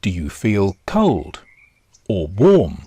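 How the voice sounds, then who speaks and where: A man guiding a body scan meditation in short, measured instructions with long pauses. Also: fairly low pitch, wildly swooping pitch, mellow, husky, no room sound. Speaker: meditation guide. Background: nature sounds.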